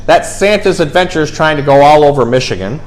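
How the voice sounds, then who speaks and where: A man with a slightly high voice reads a children's story aloud. His delivery is very animated, swinging up and down in pitch with dramatic emphasis on key words. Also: brisk, low-quality recording. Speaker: storyteller and zookeeper host.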